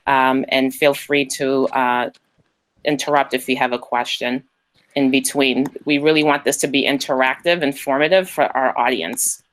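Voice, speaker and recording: A woman's voice: extremely low pitch, fairly even intonation, moderate pace. A woman moderating a virtual panel on a video call, friendly, with many fillers.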